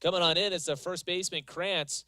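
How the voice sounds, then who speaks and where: A man calling live softball play-by-play as a sports announcer, moving between measured stat recaps and calls of the action. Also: sits high, fairly even intonation, dry recording, studio quality. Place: live broadcast from a softball stadium.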